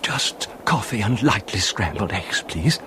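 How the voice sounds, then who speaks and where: An actor playing a nervous, apologetic little man in a 1970s radio horror drama, soft-spoken, tentative and overly polite. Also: fairly low pitch, expressive, a little slow. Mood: timid, apologetic. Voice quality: soft-spoken, sometimes barely audible. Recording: faintly noisy old radio recording.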